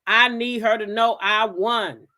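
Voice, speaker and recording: A woman's voice: fairly high pitch, fairly even intonation, a little slow, bright, forceful tone. An American woman hosting a gossip talk show, giving blunt, emphatic commentary in a casual style and repeating phrases for effect.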